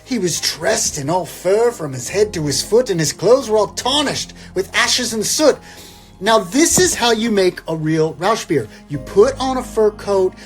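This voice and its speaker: A man reciting a poem theatrically and breaking into casual, irreverent joking asides. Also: high-pitched. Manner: wry, irreverent, theatrical when reading verse. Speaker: guest reader, comedic poem reciter. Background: instrumental Christmas music.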